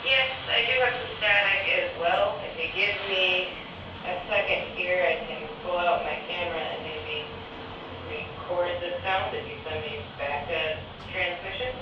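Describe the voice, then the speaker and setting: A man's voice comes through a walkie-talkie, dull and staticky, played into a very echoey space. He is fairly high in pitch and answers in a casual radio exchange. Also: steady tone, unhurried. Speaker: radio test partner at home. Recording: staticky muffled radio, echoey, distant, noisy.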